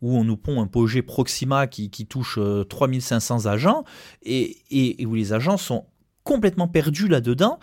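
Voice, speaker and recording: Male, mid-pitched, close dry sound. Argumentative interviewee, emphatic, doubles back on words. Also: a little mellow, no room sound. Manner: halting, repeats words, emphatic. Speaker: trade union representative.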